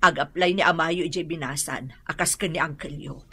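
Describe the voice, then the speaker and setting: A practical middle-aged mother in an Ilocano radio drama. Her voice is rather low and noticeably husky, with a matter-of-fact, instructing manner. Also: fairly quick, fairly bright tone.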